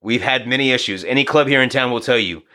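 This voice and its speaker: A man in his thirties chatting on a podcast, loose and profane, with a bright, forceful voice at a middling pitch. He tells stories and drops into short acted asides as he goes. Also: quick pace. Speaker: podcast guest, boxing coach and former DJ. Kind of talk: casual podcast chat.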